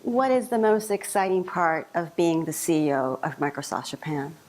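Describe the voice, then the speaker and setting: A woman's voice: deep voice, normal speed, a little mellow, slight background noise, low-quality recording. A woman moderating an onstage interview in an informal, friendly way with some fillers. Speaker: moderator, reporter. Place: meeting hall.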